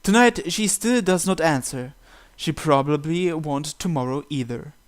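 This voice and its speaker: A man's voice: fairly high pitch, a little slow. A man hosting a game playthrough, reading the story aloud expressively and adding casual commentary. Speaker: gamer hosting a visual novel playthrough.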